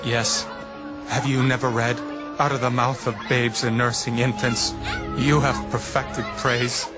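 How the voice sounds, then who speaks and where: An actor playing a calm, authoritative male teacher in an audio Bible drama, speaking in a solemn, measured, earnest way. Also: mid-range voice, natural voice. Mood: firm. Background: background music.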